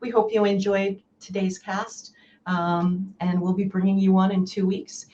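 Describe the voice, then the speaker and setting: Woman co-host, slightly hoarse, upbeat, faint noise behind, middle pitch. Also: little pitch movement, measured pace, warm tone, lo-fi sound. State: losing her voice. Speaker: podcast co-host.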